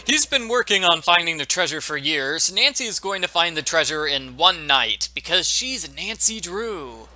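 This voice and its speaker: A man's voice: fairly high pitch, sing-song, normal speed, hardly any pauses, bright, forceful tone. A man giving joking commentary as the host of a video game walkthrough.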